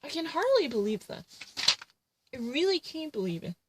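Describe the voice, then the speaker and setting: A woman's voice: high voice, sing-song, moderate pace, very noisy. A woman ranting to camera in an indignant, repetitive way, filling her sentences with "actually" and "you know". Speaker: vlogger.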